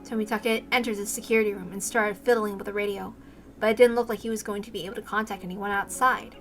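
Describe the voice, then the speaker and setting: A woman's voice: mid-range voice, steady tone, fast, fairly bright tone, soft background music. A woman reading a visual novel aloud, informal and self-correcting, mixing narration with casual asides.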